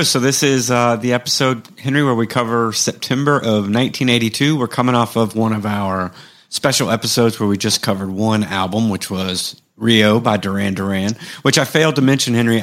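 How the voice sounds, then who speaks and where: A man's voice: fairly low pitch, steady tone, normal speed, fairly bright tone. A man co-hosting a music podcast, chatting casually and thinking aloud. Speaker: podcast co-host. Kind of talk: casual chat.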